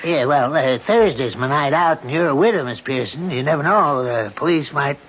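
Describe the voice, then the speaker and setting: A man's voice: on the high side, normal speed, a bit bright. An actor playing an easygoing, deferential handyman with folksy, hesitant speech in an old-time radio mystery. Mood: teasing, sheepish. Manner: casual, folksy, hesitant phrasing. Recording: muffled noisy old radio broadcast.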